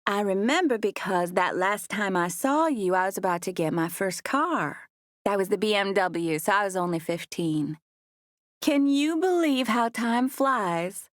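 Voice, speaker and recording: A woman voicing an entitled young Southern woman who speaks in a slow, syrupy drawl in an audiobook. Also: mid-range voice, lively intonation, no room sound, clean studio sound, close to an everyday voice. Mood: chatty.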